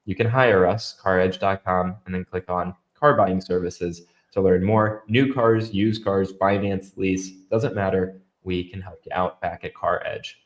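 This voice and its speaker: A young man with a somewhat low voice that sounds stuffed up and nasal. He co-hosts a casual live stream in an upbeat way and reads viewer comments out to his co-host. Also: steady tone, a little slow, few pauses. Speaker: podcast co-host.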